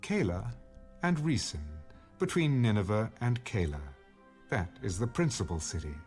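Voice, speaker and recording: A man reading scripture as an audiobook narrator in a measured, solemn manner. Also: fairly low pitch, very animated, a little mellow, slightly rough, faint music behind.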